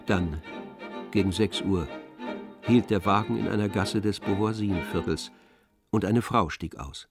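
Male narrator for literary audio drama: lowish voice, measured storytelling, light music underneath. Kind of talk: scripted literary narration in a radio play.

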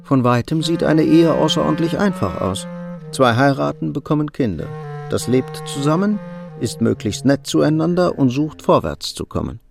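Creaky-voiced, dryly ironic narrator. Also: male voice, fairly high pitch, animated, moderate pace, music in the background. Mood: wry, reflective. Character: wry, detached male storyteller of a married couple's troubles. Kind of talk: scripted radio play narration.

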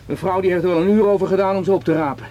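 An actor in a radio play voicing an indignant, flustered cinema owner who stammers and complains. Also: male voice, sits high, steady tone, rapid-fire, dark tone, dull audio, close to an everyday voice. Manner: agitated, stammering, repetitive complaining. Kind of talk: radio drama acting. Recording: noisy radio play recording with clicks.

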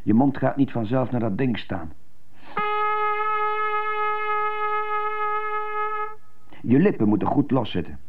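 An actor in a Dutch-language radio play, playing an earnest, brooding prisoner who loves jazz. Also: male voice, medium pitch, animated, brisk, loud music behind the voice, close to an everyday voice. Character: jazz-loving prisoner, earnest, brooding over his strict childhood. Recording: muffled gravelly-sounding phone-like radio tape.

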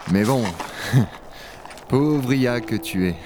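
A man's voice: medium pitch, drawn-out words, noisy background. A man playing a rugged, wry dragon hunter of a frozen future in a science-fiction audio drama. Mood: wry, fond. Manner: gravely reflective narration, familiar offhand banter. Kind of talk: French audio-fiction acting and first-person narration.

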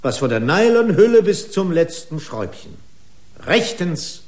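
An actor playing an indignant, officious company lawyer pleading in court with pompous, long-winded legalese in a radio drama. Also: male voice, high voice, sing-song, unhurried, darkish tone. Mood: haughty, formal. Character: indignant, officious corporate attorney arguing in court. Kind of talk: German radio drama acting.

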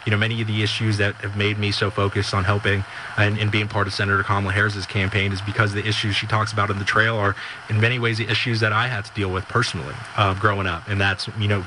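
Fast, flat-voiced campaign man. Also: on the low side, noisy background. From Southern California. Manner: fluent, scripted-sounding campaign talking points. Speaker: campaign staffer. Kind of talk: on-the-street interview.

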